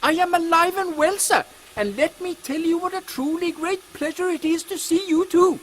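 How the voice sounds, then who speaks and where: High-pitched, eager, polite engineer. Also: male voice, normal speed, a bit bright, slight background noise. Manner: deferential, formal, eager. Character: polite, eager Indian engineer and loyal aide from Bombay. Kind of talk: steampunk audio comedy acting.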